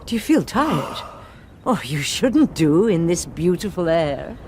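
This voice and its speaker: An actress playing a composed nun in charge, calm but firm, giving orders in a radio drama. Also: female voice, fairly low pitch, unhurried, slight background noise. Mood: gentle.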